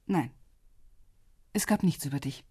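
An actress playing a composed, businesslike intelligence officer in a radio drama, speaking in a measured, matter-of-fact, discreet way with a husky voice. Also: female voice, low voice, lively intonation, darkish tone, no echo, clean studio sound, exaggerated voice. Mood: guarded.

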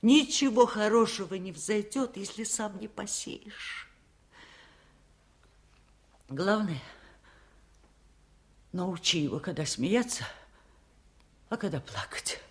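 An actress playing a lonely, wise old village aunt in a 1970s radio play, with plain-spoken, folksy, wry delivery. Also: female voice, mid-range voice, expressive, slow pace, pauses often. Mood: tender, earnest. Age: older. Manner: plain-spoken folksy musing, wryly stoic. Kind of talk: 1970s radio drama acting.

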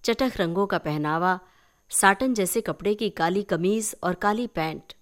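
A woman narrating a short story in an expressive storytelling style. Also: medium pitch, a little slow. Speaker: story reader.

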